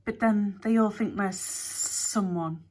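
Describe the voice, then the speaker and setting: A woman voicing a sardonic male snake-like creature who drawls slowly and mutters in a hushed voice. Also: mid-range voice. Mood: acid, muttering.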